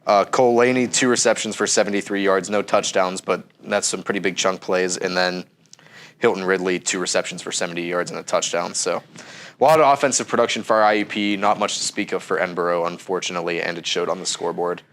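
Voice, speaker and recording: A man's voice: low-pitched, quick pace, a little hiss or hum. A young man co-hosting a casual sports chat, sounding stuffy from a cold as he works through stats with frequent ums. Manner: steady, methodical, frequent ums. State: stuffy, under the weather. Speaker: co-host.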